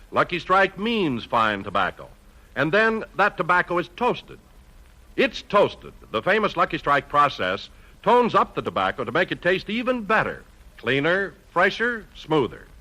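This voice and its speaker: An American man announcing scripted 1950s radio copy as a pitchman. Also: bright, forceful tone, poor audio.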